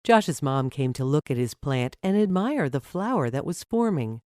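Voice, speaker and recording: A woman's voice: deep voice, very animated, normal speed, darkish tone, no room sound, clean studio sound. A woman narrating a scripted story for children.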